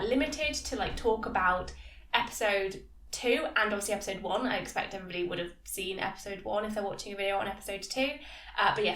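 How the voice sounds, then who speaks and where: A woman's voice: medium pitch, fairly bright tone. A woman with a slightly nasal voice from a cold, chattily giving a casual episode review as a video host. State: has a cold. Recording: echoey room, distant microphone.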